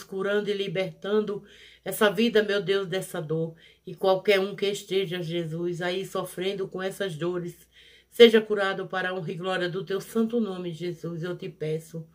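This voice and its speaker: A prayer leader, leading a fervent healing prayer in a rhythmic, repetitive, pleading cadence, swelling loud on each plea and dropping soft on each invocation. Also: medium pitch. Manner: pleading, rising loud on pleas and falling soft on each "Jesus".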